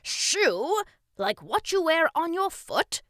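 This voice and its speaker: A woman's voice: very high voice, sing-song, slow, fairly bright tone, no room sound, clean studio sound, voice pushed up, heavily acted. A woman voicing an exuberant, encouraging king in a children's story, exclaiming and coaching enthusiastically. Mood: pleasant, insistent. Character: exuberant, encouraging magical king, a pleasant male teacher.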